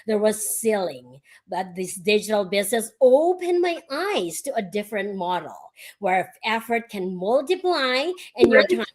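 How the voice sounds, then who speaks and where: A woman from the Philippines, a guest on the panel, giving a prepared, inspirational answer in deliberate phrases. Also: mid-range voice, expressive. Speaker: panel guest, early childhood educator.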